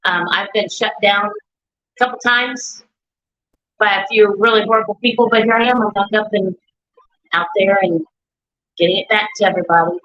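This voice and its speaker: A talkative woman with a loud, sharp, bright voice, speaking on a live stream. She circles back over her points and repeats words as she goes. The sound is dull and lo-fi, from an echoey room. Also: mid-range voice, normal speed, long pauses. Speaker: guest, bereaved mother.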